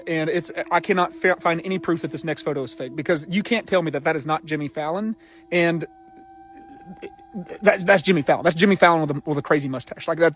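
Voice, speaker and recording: A high-voiced man with a Southern US accent hosts a casual commentary video. He talks straight to viewers in an animated, emphatic way, often repeating a phrase to hammer it home. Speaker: host of a pop culture video show.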